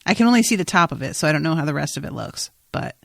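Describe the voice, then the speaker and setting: Low-pitched woman chatting casually, close dry sound. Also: lively intonation, very fast, creaky, dry recording. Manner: informal, rambling, exclamatory asides. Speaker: podcast host. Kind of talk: casual TV recap chat.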